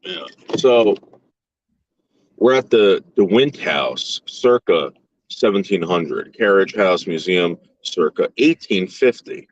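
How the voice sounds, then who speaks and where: A man's voice: low voice, lively intonation, slow pace, highs cut off, a little hiss or hum. A man in his forties hosting a casual chat while touring a historic site, joking offhand and trading mock insults.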